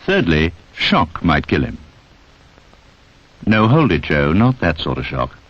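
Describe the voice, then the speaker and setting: A deep-voiced man delivers scripted 1960s film narration. His intonation moves widely as he addresses the listener directly with pointed instruction. The soundtrack is dull and dated. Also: wildly swooping pitch, slow, fairly bright tone. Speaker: narrator of a public information film.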